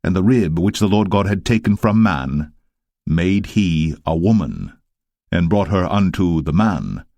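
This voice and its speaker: A man narrating scripture as a dramatised reading, solemn and measured. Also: low voice, expressive, vocal fry, dark tone, dry recording.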